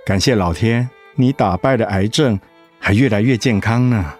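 An actor playing a devoted, teasing middle-aged husband in a radio drama, with a Taiwanese accent and a coaxing way of talking that easily gets flustered. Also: male voice, lowish voice, normal speed, faint music behind, natural voice. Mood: reflective, tender. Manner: coaxing, stammering when cornered.

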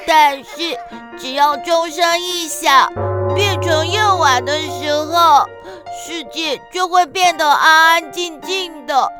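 A woman's voice: high voice, slow, music in the background. A woman voicing a lonely, timid, childlike spirit of darkness in a children's radio drama, plaintive and hesitant. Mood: sad.

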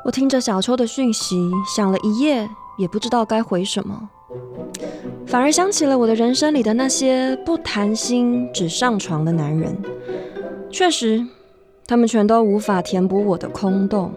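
An actress playing a candid, flighty woman who narrates a radio drama about her friend in an expressive, confiding way. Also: fairly high pitch, moderate pace, lightly acted. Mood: reflective, wistful. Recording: music bed under the voice.

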